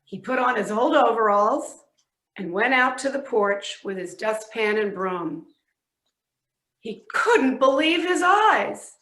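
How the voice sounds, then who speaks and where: A woman's voice: on the high side, animated, takes it slowly, fairly bright tone. A woman narrating a children's storybook aloud. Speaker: reader in a readers theatre. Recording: echoey room.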